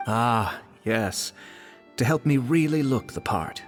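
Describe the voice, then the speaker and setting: A man playing a composed, courteous gentleman in a video game, speaking in a measured, polite way. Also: medium pitch, expressive, soft background music. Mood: mild. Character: composed, courteous adult male actor, gentlemanly. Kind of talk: video game character acting.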